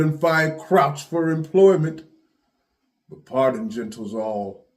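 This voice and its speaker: A man's voice: medium pitch, close to an everyday voice. A man playing a grand, impassioned storyteller, declaiming a Shakespearean monologue to an audience. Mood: rousing, exalted. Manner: declamatory, rising from hushed to ringing. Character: Chorus, grand impassioned male storyteller addressing his audience.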